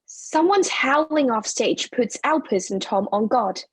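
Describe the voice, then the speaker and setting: A woman's voice: fairly high pitch, expressive, a little slow, slightly rough, no echo. A woman narrating a play's stage directions in scripted reading.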